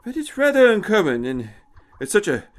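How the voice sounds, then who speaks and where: A man voicing an elderly, eccentric time traveller, haughty and impish, with a high, tremulous, querulous voice and a fussy manner. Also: huge pitch swings, normal speed. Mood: pensive. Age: older. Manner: haughty, fussy, hesitant turns of phrase.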